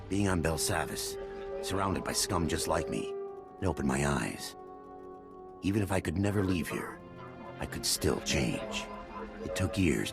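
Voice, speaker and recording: An actor playing a repentant former criminal turned guard in a video game, earnest and measured. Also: male voice, very deep voice, gravelly, heavily acted. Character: repentant middle-aged ex-criminal prisoner turned honorary guard.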